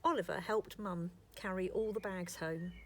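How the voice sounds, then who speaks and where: A woman storyteller narrating a scripted children's story in an expressive, deliberate way. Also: fairly low pitch.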